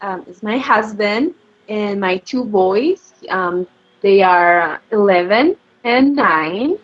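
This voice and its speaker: Woman, slow hesitant answers with lots of ums, muffled video call sound. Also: medium pitch, expressive. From Mexico. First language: Spanish. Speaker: guest. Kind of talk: casual interview answers. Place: home. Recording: dull lo-fi video call audio.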